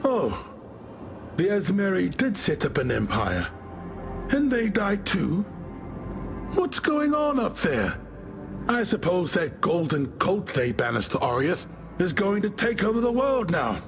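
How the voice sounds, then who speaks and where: A man playing a talkative ancient warrior spirit in a video game, wry and expressive. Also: high-pitched, a little slow, creaky, long pauses, over music, exaggerated voice. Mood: surprised, wry. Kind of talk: scripted game character dialogue.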